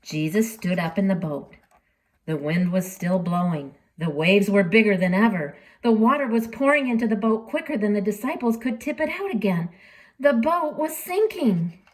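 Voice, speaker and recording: A woman reads a storybook aloud for children. Her pitch is lively and varied around a middle register, and she tells it dramatically, pausing deliberately and leaning on key words. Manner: dramatic, with deliberate pauses and emphasis. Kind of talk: expressive storybook reading for children.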